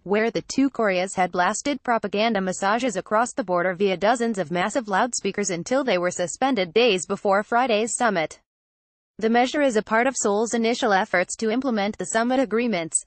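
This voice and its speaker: A woman reading a scripted news article as a narrator, in a formal, measured manner. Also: medium pitch, no room sound.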